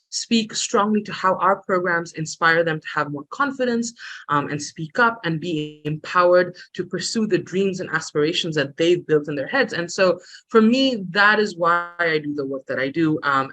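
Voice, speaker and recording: Woman in her late thirties, webinar panelist on a video call, bright voice, earnest and halting. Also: deep voice, poor audio. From Bangladesh. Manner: earnest, frequent ums and restarts. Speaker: program director, webinar speaker. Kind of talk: prepared webinar talk.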